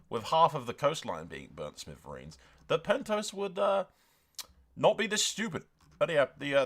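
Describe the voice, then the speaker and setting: A man's voice: on the low side, a bit bright. A man giving casual game roleplay commentary, slipping into dramatic narration with a jokey, sweary, theatrical manner. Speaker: gaming video creator.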